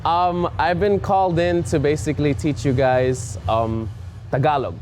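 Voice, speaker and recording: A man's voice: fairly high pitch, steady tone, measured pace, darkish tone, a little hiss or hum. A man with a Filipino accent, from the Philippines, playfully teaching local words on stage, coaching and laughing often. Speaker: language segment host.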